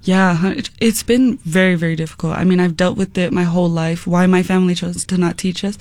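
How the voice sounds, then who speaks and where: A woman's voice: fairly low pitch, fairly even intonation, fairly quick, darkish tone, dry recording. A young woman with an American accent chatting casually as a musician guest in an interview, giggly and informal. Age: young adult. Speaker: musician guest, singer and rapper.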